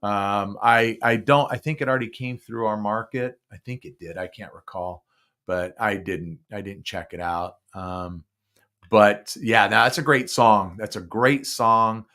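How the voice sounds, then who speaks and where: A man's voice: on the low side, unhurried, pushed and bright. A man hosting a casual podcast, chatty and enthusiastic as a music fan. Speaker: podcast host.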